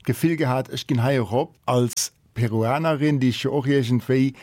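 A man's voice: medium pitch, normal speed, darkish tone, no echo, studio quality. A man, hosting a casual interview with short questions and brief replies.

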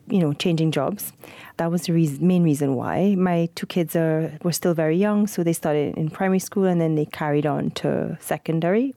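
A Trinidadian woman in her forties speaks as a podcast guest. Her voice is low and warm, and she talks in a relaxed, fluent way with soft fillers. The recording is close and dry. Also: vocal fry, dry recording. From Trinidad and Tobago. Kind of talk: casual interview.

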